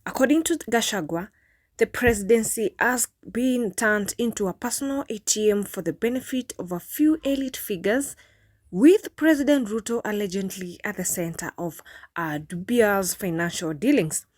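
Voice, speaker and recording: A woman's voice: mid-range voice, moderate pace, no echo, studio quality. A woman reading scripted news narration in a formal manner, occasionally stumbling over the script.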